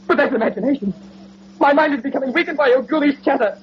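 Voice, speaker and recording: An actor playing an anguished, gentle young nobleman in 1930s radio melodrama, with a theatrical, impassioned delivery and a husky voice. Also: very high-pitched, quick pace, slight background noise. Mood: tormented protest. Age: young adult. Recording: muffled old radio recording.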